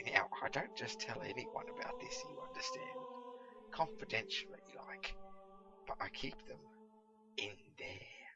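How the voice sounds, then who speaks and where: A man's voice: sits high, wildly swooping pitch, unhurried, muffled recording, over music, pitched above the performer's own voice, heavily acted. A man voicing a nervous, flustered little man who talks in a hesitant, fussy, repetitive way. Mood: humouring, evasive.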